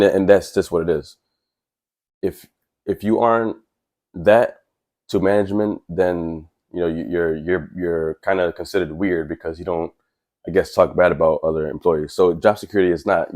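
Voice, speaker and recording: Man, very deep voice, stuffy from a cold, earnest plainspoken tradesman, casual vlog talk. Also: fairly even intonation, normal speed, darkish tone. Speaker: welder and tradesman vlogger.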